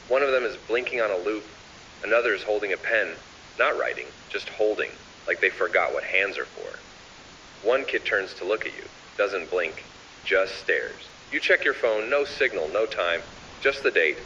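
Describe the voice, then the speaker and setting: A man with a lowish, forceful voice delivers ominous horror narration, swinging noticeably in pitch as he measures out each phrase. The recording is dull and sounds distant from the microphone. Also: expressive, normal speed, slight background noise. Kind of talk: scripted analog horror narration, addressing the listener.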